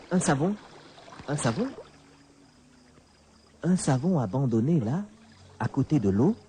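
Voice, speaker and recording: A man's voice: a little high, a little mellow, a little hiss or hum, lightly acted. A man acting a chatty, carefree mechanic in a radio drama, exclaiming and repeating himself as he talks to himself. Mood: surprised delight. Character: chatty young male mechanic, carefree, delighted by his luck.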